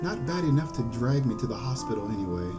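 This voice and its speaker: Halting male let's player over game music. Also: medium pitch, lively intonation. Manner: halting, stumbles and repeats phrases. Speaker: gaming video host. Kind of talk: visual novel let's play, reading aloud and commenting. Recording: music behind the voice.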